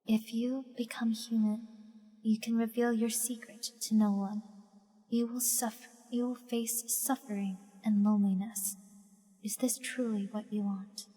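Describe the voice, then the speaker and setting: An actress playing a solemn, mysterious woman in a video game, speaking in a hushed, measured, portentous way. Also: fairly high pitch, level tone, big-room sound, close to an everyday voice. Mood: grave warning. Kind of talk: dramatic video game dialogue.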